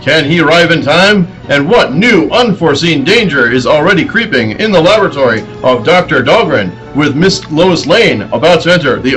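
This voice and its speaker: A man's voice: on the high side, fairly bright tone, poor audio. A man playing a dramatic announcer narrating in the style of a 1940s radio serial.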